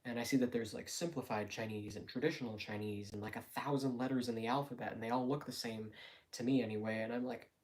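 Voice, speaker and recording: A young man of nineteen speaks at a medium pitch in a casual, unscripted way. He thinks out loud, often hesitating and correcting himself, as a streamer does when chatting with viewers. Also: steady tone, fast talker, poor audio. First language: English. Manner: rambling, thinking aloud with frequent hesitations and self-corrections. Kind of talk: casual unscripted chat answering viewers' questions.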